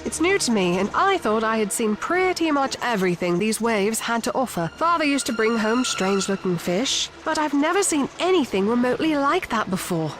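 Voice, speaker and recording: A woman's voice: fairly high pitch, very animated, moderate pace, hardly any pauses. A woman acting a resolute young fisherwoman in an audio drama, with an emphatic, practical delivery. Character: Rabia, resolute young fisherwoman of ancient Egypt.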